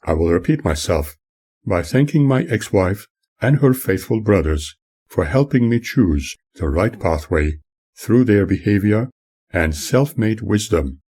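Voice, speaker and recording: Low-pitched, expressive man narrating formally. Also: measured pace, breathy edge. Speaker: author reading own memoir. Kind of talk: scripted audiobook narration.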